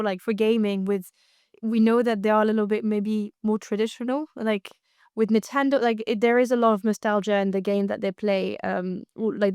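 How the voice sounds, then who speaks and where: A woman's voice: mid-range voice, darkish tone, smooth, no room sound, studio quality. A woman co-host talking through industry news conversationally, often searching for her phrasing as she goes.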